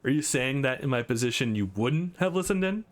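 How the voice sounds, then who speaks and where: A man's voice: medium pitch, expressive, fairly quick, lightly acted. A man voicing a cocky, composed male employee with a dry, bantering manner in a visual novel.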